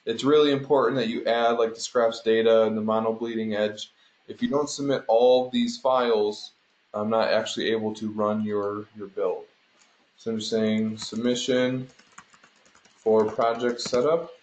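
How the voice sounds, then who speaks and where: A man instructing an online class, walking students through steps informally and explanatorily, often checking in with a quick "right?". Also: fairly low pitch, fairly even intonation, darkish tone. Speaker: instructor teaching an online game design class. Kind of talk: informal screen-share walkthrough to students. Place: indoor room, online conference call. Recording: roomy, dull conference call audio.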